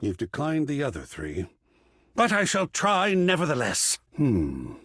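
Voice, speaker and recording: A man voicing an excitable, cultured art curator character in a video game, theatrical and erudite, with precise diction and a husky, breathy voice. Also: a little high, measured pace, no room sound, studio quality, full character voice. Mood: thrilled, bold. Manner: effusive, erudite, precisely enunciated, dramatic. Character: excitable, cultured art object come to life, gallery curator.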